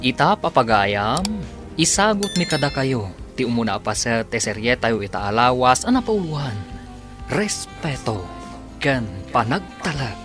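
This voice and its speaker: Guy introducing a radio drama, really animated, music behind him. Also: medium pitch, unhurried. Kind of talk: scripted radio announcing.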